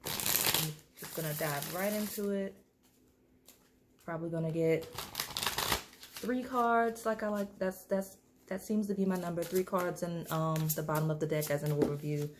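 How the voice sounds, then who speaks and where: Lowish slightly hoarse woman rambling thru a tarot reading, faint hiss behind. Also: fairly even intonation, moderate pace, long pauses. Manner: chatty, filler-heavy, restarts, laughs. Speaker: tarot reader. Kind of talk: casual tarot reading to camera.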